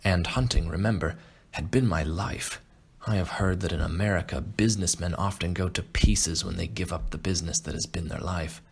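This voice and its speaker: A man playing a refined, boastful aging Russian aristocrat and hunter in an audiobook, speaking in a measured, pompous way. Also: low voice, vocal fry, slightly rough, heavily acted. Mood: wistful, proud. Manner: pompous, measured, self-satisfied. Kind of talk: audiobook character acting.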